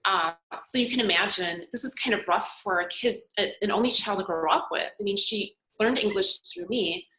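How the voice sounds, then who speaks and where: A woman in her forties whose first language was Serbian tells her story in an earnest, reflective way, pausing on plenty of fillers. Her voice is bright and forward, and it rings in an echoey room over a remote call. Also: mid-range voice. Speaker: podcast guest, makeup artist and model. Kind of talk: conversational interview answers.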